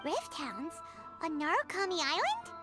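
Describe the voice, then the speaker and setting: Tiny squeaky, cheeky game sidekick. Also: female voice, wildly swooping pitch, a little slow, full character voice. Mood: surprised. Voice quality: squeaky, childlike. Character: tiny perky childlike flying companion, cheeky and confident. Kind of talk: English video game voice acting. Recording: loud game music behind.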